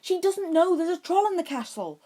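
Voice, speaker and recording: A woman voicing a brave, stubborn schoolboy wizard in a fantasy audiobook, with a forceful, urgent delivery. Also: extremely high pitch, expressive, normal speed, pitched above the performer's own voice. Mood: urgent, angry.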